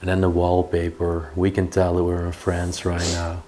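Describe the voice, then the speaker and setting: A man's voice: sits low, completely flat delivery, moderate pace, mellow, a little hiss or hum. A young man exploring an abandoned castle, pointing out old objects to viewers as he walks through the rooms. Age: young adult. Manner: marveling, points things out to viewers. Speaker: urban explorer vlogger. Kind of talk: casual walkthrough commentary. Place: large abandoned castle indoors.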